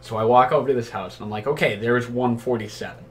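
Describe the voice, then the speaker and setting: A man's voice: medium pitch, fast talker, a little hiss or hum. A man telling comic anecdotes casually and animatedly, acting out the dialogue and swearing crudely. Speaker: storyteller on a comedy chat show.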